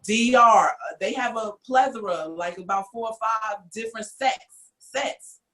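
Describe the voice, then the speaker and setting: A woman's voice: on the high side, unhurried, a bit bright. A woman from the United States hosting a podcast, giving her opinions in an earnest, emphatic way. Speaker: podcast host. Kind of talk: casual podcast discussion.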